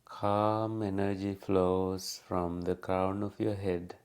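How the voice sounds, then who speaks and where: Very slow, low-voiced man guiding meditation. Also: clear, resonant tone. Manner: calm, unhurried, with long pauses. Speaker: meditation teacher. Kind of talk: guided meditation.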